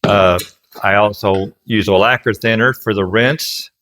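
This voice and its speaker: A man's voice: lowish voice, steady tone, unhurried, a bit bright, slight background noise. A man giving casual, plain-spoken how-to narration as a craftsman, explaining things step by step in a folksy way. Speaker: furniture restorer and instructor.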